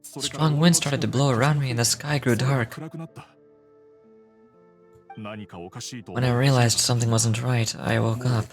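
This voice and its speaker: A man's voice: medium pitch, steady tone, slow pace, long pauses, faint music behind. A man voicing a troubled, earnest sleepwalking man in video game dialogue. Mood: dazed, confused.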